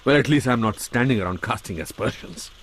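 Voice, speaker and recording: An actor with an Indian accent playing an excitable, blustering middle-aged novelist in a radio drama. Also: male voice, mid-range voice, a little fast, slight background noise, natural voice. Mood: defensive. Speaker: actor playing the detective's comic companion.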